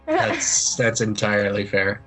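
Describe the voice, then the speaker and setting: A man's voice: fairly low pitch, huge pitch swings, a little slow, a bit bright, faint music behind, rough recording. A man acting as game master, casually laying out rulings and questions in a matter-of-fact way.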